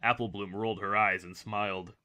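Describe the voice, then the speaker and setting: A male narrator with a fairly low, crisp bright voice. He reads a scripted story in a dramatic storytelling style. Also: steady tone, a little fast.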